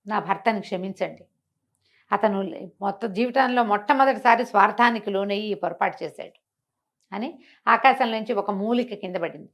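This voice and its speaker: A middle-aged woman telling a moral story in an interview, animated and acting out the characters' lines with a changing voice. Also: mid-range voice. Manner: expressive, acts out the characters' lines with shifting voice. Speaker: storyteller guest.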